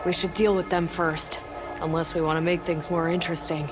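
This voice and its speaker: A woman voicing a tough female special forces soldier in a video game, talking in crisp military radio terms over game music. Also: on the low side, flat delivery, brisk, close to an everyday voice. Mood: calm. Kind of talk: video game cutscene acting.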